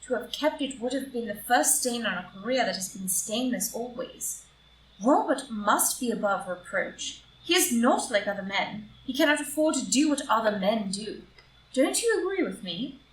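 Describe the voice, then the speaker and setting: A woman playing an upright, devoted, morally strict society wife in a live reading of a stage comedy, in a formal, deliberate way. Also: fairly high pitch, animated, close to an everyday voice. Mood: confiding, proud. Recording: roomy, distant, noisy live group recording.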